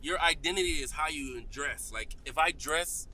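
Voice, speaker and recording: An American man chatting casually and telling stories in animated, slangy speech. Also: on the high side, moderate pace, low-quality recording. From United States. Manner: animated, slangy, acts out quotes. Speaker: vlog co-host. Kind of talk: casual chat and storytelling. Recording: car interior with road noise.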